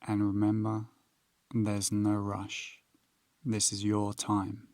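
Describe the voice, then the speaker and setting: A man leading a guided meditation in a calm, unhurried, instructional manner with long pauses. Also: on the low side, no echo.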